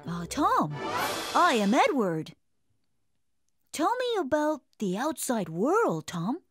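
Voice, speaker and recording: A woman voicing a curious, proud young boy prince in an animated storybook, speaking slowly and playfully in a high, boyish voice. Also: breathy edge, no room sound, clean studio sound, heavily acted. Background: music.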